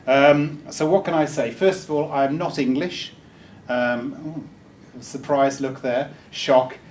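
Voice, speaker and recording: A man's voice: medium pitch, fairly even intonation, slow pace, darkish tone. A man from Wales, giving a chatty, joking talk to a live audience with many ums and restarts. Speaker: speaker. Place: meeting room. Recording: distant mic with faint room noise.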